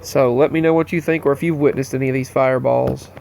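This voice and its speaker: A man with a stopped-up, allergy-stuffed nose talks straight to his viewers in a loose, rambling monologue. His pitch sits in an ordinary middle range, and he speaks earnestly as he moves from item to item. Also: steady tone, fast talker, vocal fry, darkish tone, a little hiss or hum, low-quality recording. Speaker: channel host. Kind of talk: casual news-roundup monologue.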